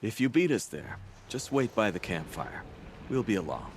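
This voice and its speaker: Gentle hunter, low, expressive voice. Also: male voice, moderate pace, slight background noise. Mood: good-natured. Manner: mild, earnest. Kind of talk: video game cutscene acting. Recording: prominent music behind the voice.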